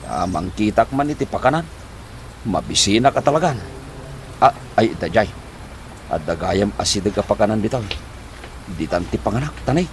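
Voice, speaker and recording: A man's voice: on the low side, lively intonation, normal speed, long pauses, lightly acted. A man acting a worried, debt-ridden husband in an Ilocano comedy drama, with an Ilocano accent and a flustered, stammering, sighing manner. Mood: weary, hungry. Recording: noticeable background noise.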